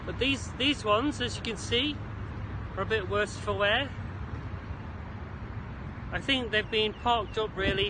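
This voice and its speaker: British male walking vlogger, notably high voice, cheerful rambling chat, lightly panting, recorded outdoors over clear street noise. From Isle of Man. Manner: chirpy, chatty, jokey, quaint British slang. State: slightly out of breath from brisk walking. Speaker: vlog host. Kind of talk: rambling walking vlog to camera. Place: outdoors along a city creek. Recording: noisy outdoor recording, city traffic ambience.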